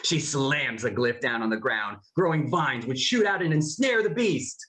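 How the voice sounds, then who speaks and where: Lively narrator of cartoon stage directions. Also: medium pitch, normal speed. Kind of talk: scripted narration of stage directions at table read.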